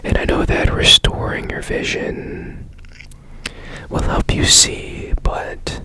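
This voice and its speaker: A man whispering in a breathy, deliberate way up close to the mic, in an ASMR roleplay as a mysterious repairman. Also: bright, forceful tone. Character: strange secretive man repairing a broken robot. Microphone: close ASMR microphone.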